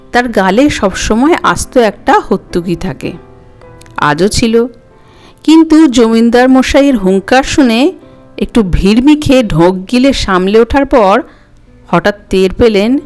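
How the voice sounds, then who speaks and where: A woman's voice: fairly high pitch, a little mellow. A woman reading a story aloud as a scripted audiobook narrator. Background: music.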